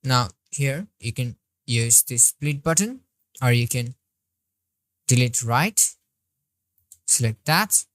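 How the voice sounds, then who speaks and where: Woman instructor with a strikingly low voice, unhurried, talking through each click in a dry, close voice-over. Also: lively intonation, no room sound. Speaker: software tutorial instructor. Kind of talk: screen-recorded tutorial walkthrough.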